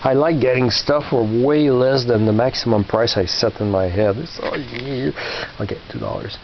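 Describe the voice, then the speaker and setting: A man in his fifties rambling casually through his flea market finds, halting with many fillers and restarts. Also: medium pitch. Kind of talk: casual rambling show-and-tell. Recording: muffled with faint hiss.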